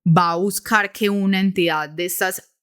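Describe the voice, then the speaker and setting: A woman from Medellín with a Colombian accent, co-hosting a podcast and recounting a story in a relaxed, hesitant way, checking in with her listener. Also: lowish voice, moderate pace, clean tone. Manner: hesitant, many restarts and fillers, checks in with "¿sí?". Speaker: podcast co-host. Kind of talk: casual conversational storytelling.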